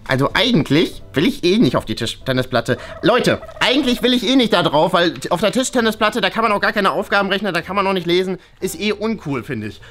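Woman playing stammering nerdy schoolboy with animated pitch. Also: quick pace, few pauses, soft background music, close to an everyday voice. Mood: hurt, flustered. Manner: stammering, hesitant, pedantic. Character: nerdy, awkward schoolboy, a rule-abiding loner. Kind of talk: children's play-acted school story.

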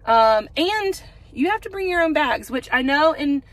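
A woman's voice: sits high, lively intonation, a little slow. A woman in her thirties, a home decor vlogger, rambling chattily to her phone from a parked car, with lots of fillers. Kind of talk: casual chat to camera. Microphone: phone camera at arm's length.

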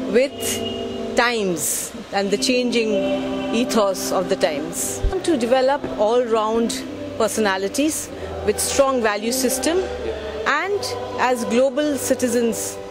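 Woman principal, middle-aged, slightly high, unhurried interview delivery, close handheld mic. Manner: measured, earnest, pauses to find words. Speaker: school principal. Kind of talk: news interview. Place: outdoor veranda by trees. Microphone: handheld reporter mic held close.

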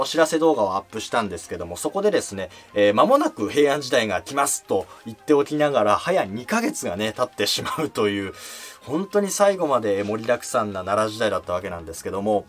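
Young man, history explainer, animated pitch, polite and chatty, soft music underneath. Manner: polite, lively, with drawn-out fillers. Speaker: history channel host.

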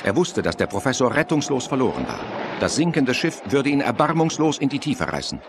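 A man's voice: mid-range voice, big pitch swings, fairly quick, noisy background. A man narrating a horror radio play in a dramatic scripted style, with music and effects behind him.

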